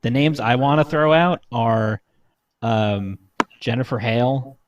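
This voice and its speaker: A man's voice: medium pitch, unhurried. A man, chatting casually and enthusiastically as a podcast panelist, swearing freely. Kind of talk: casual enthusiastic chat about voice actors.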